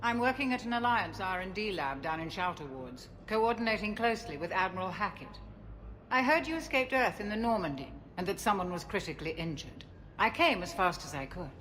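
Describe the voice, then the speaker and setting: An actress playing a loyal female ship's doctor in a video game, speaking in a measured, courteous and formal way. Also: mid-range voice, lively intonation, fairly bright tone, slight background noise, close to an everyday voice. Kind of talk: video game cutscene dialogue.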